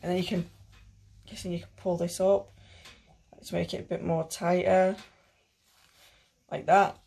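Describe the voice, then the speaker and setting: A woman's voice: fairly low pitch, little pitch movement, a little slow, vocal fry, a little mellow. A woman in her thirties with a British accent, giving a casual, rambling try-on review with plenty of swearing. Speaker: fitness clothing reviewer. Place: small bedroom at home.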